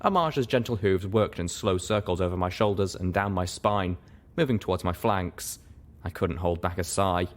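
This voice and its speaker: A man reading first-person story narration as an audiobook, in an expressive, dramatic way. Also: low voice, normal speed. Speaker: audiobook reader.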